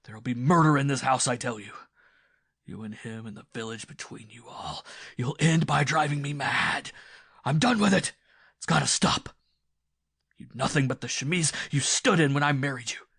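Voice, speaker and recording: A raging peasant husband in a storybook reading, bellowing accusations and then dropping to a hoarse, hissing whisper, as a man reading aloud puts him on. The voice sits at a middling pitch, and the sound is dry and close. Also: normal speed, no echo. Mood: threatening. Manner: shouting one moment, hissing in a hoarse whisper the next. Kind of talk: audiobook dialogue reading.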